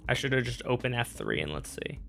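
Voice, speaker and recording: A man's voice: mid-range voice, animated, fast, vocal fry, pushed and bright, soft background music. A young man streaming a game, rambling and joking at himself as he thinks aloud. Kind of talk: casual live-stream gameplay talk. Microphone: streaming microphone.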